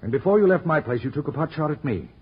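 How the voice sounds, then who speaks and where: An actor playing a self-assured, wisecracking American private detective in a 1940s radio drama, quick and insistent in manner. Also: male voice, a little high, sing-song, breathy edge, full character voice. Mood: insistent, wry. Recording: dull, lo-fi old radio recording.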